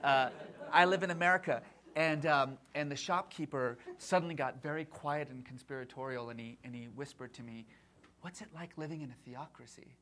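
A man's voice: medium pitch, fairly quick, fairly bright tone. A man on a discussion panel who tells a story from his own travels, hesitant and full of fillers. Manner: anecdotal, hesitant with many fillers. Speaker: panel guest.

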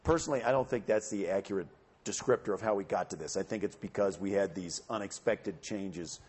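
A man on a panel answering audience questions in a measured, explanatory way. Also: lowish voice, a little mellow, breathy edge. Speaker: panelist speaking for a campaign.

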